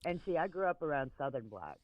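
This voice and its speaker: A man's voice: a little high, sing-song, quick pace, no echo, lo-fi sound. A man with an American accent chatting casually as a participant in a talk show. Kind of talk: casual chat.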